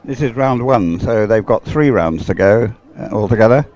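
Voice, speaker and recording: A man's voice: lowish voice, lively intonation, moderate pace, a little mellow. A man giving live race commentary in a rambling, hesitant way, as if thinking aloud.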